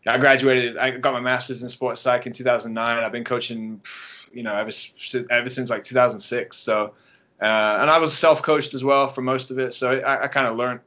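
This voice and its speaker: English accent, man, bright voice, easygoing storyteller, dull lo-fi audio. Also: mid-range voice, fairly quick. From England. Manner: relaxed storytelling with many ums and restarts. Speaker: guest track and field coach. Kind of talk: casual podcast interview.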